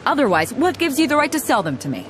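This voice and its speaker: A woman's voice: high-pitched, big pitch swings, quick pace, fairly bright tone, a little hiss or hum. A bold, breezy female captain. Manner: breezy, teasing, commanding. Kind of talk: game voice acting. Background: game music.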